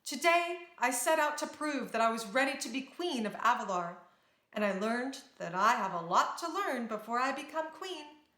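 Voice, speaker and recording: A woman's voice: a little high, sing-song, normal speed, sharp tone. A woman playing a brave, determined young princess in a storybook, speaking earnestly and with command. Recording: roomy, echoing sound.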